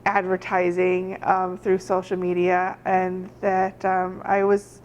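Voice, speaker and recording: A woman's voice: fairly low pitch, completely flat delivery, takes it slowly, darkish tone. A woman from Germany, a shop owner, answering interview questions in a chatty way with lots of fillers and laughs. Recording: dull audio with faint noise.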